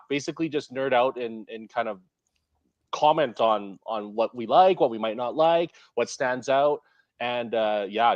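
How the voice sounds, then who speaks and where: A man from Canada with a Canadian accent, co-hosting a casual stream, enthusiastic and quick to laugh. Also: a little high, no echo, dull audio. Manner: giggly, enthusiastic, fast bursts. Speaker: co-host. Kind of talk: casual live stream chat.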